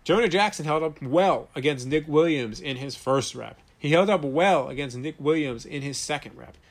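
Higher-voiced man hosting a sports podcast. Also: moderate pace. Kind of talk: solo sports podcast commentary with host-read ads.

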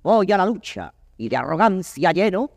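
An arrogant high-voiced young nobleman. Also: big pitch swings, moderate pace, vocal fry, no room sound, studio quality, long reverb tail, heavily acted. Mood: haughty, defiant. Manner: haughty, declamatory. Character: arrogant young nobleman, eager for battle and crown. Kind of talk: classical Spanish verse stage acting.

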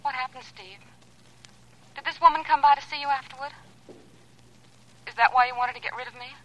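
An actress playing a hurt, jealous sweetheart pleading on the telephone in a 1940s radio drama, plaintive and questioning. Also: female voice, sits high, fairly even intonation, a little fast, a bit bright. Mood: suspicious, hurt. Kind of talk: 1940s radio thriller acting. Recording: noisy old radio telephone scene.

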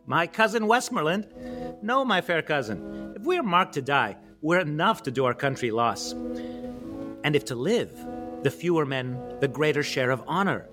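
A man performing a stirring medieval king rallying his outnumbered soldiers in a declamatory, amateur theatrical soliloquy with weighty pauses. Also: a little high, expressive, music in the background, pitched above the performer's own voice, natural voice. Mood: proud, resolute.

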